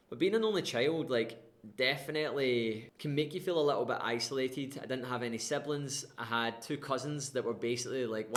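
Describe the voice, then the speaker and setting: A young man with a West Coast Scottish accent, candidly telling his own life story to the camera in a chatty, rambling way. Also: medium pitch. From Scotland. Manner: candid and chatty, with frequent fillers and restarts. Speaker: coaching brand founder. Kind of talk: personal story told to camera.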